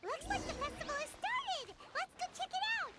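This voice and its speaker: Very high, squeaky tiny fairy. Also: female voice, brisk, noisy background. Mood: excited. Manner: squeaky, excitable, childlike. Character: tiny floating childlike female fairy companion, squeaky, greedy, skeptical. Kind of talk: video game voice acting.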